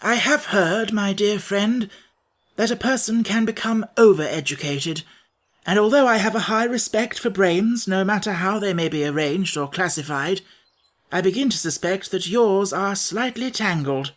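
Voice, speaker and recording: A man's voice: high voice, normal speed, voice pushed up, natural voice. A man voicing a kindly, clever male scarecrow who speaks politely and earnestly.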